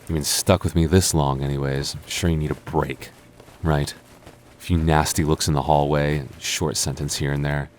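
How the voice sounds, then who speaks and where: A deep-voiced young man, played by a male voice actor in an intimate audio roleplay. He is a defensive, teasing childhood friend hiding his affection. His delivery is halting and stammering, quick to bicker yet secretly smitten. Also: level tone, a little mellow. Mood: sardonic, bickering. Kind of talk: intimate boyfriend ASMR roleplay acting.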